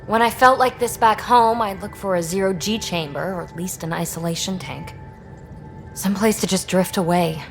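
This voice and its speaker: An actress playing a restless, flippant young loner scientist in a video game, with halting, expressive delivery. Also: female voice, medium pitch, close to an everyday voice. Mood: weary. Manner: halting, expressive, breaking into breathy asides. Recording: music behind the voice.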